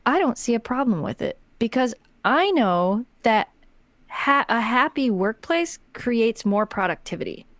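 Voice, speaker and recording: An American woman co-hosting a podcast in casual, rambling conversation, telling stories in an animated way. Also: on the high side, a little slow, no room sound. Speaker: podcast co-host. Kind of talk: casual chat, storytelling.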